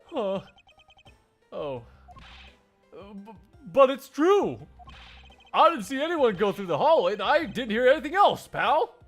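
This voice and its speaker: A man voicing an earnest, blustering police detective in a shouty, indignant manner for a game fandub. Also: extremely high pitch, sing-song, a little slow, pauses often, faint music behind, pitched above the performer's own voice, full character voice. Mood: defensive, insistent. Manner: shouty, indignant, ends phrases with "pal". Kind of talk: video game fandub acting.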